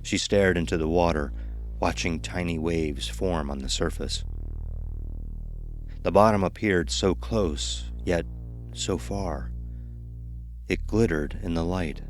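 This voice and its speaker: A male narrator with an extremely deep, low voice reads a scripted horror tale. Music plays behind him. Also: takes it slowly, pauses often.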